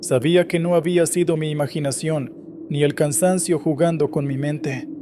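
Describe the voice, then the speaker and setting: A man reading scripted first-person horror stories in a steady, measured way. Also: on the high side, noisy background. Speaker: horror story narrator.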